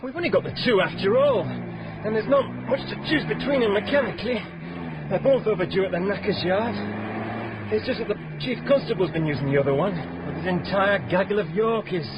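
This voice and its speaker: An actor playing an eager, chatty young police sergeant in a radio drama. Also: male voice, sits high, brisk, very noisy, natural voice.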